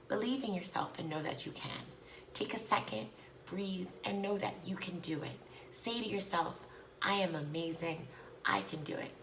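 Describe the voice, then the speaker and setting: A woman teacher reading aloud and speaking to children in an expressive, encouraging, deliberate way. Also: deep voice, vocal fry, slight background noise.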